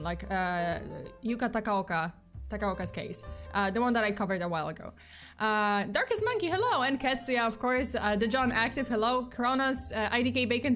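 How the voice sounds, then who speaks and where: A young woman with a fairly high voice chats with her live-stream viewers in a loose, giggly way. She speaks with plenty of fillers and false starts. Soft music plays quietly behind her. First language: Polish. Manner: chatty, giggly, many fillers and restarts. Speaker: VTuber streamer.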